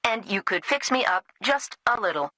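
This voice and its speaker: Bright, lonely talking house. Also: female voice, medium pitch, animated, moderate pace, no echo. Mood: pleading. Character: lonely, needy talking house pleading for care. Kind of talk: scripted radio advert.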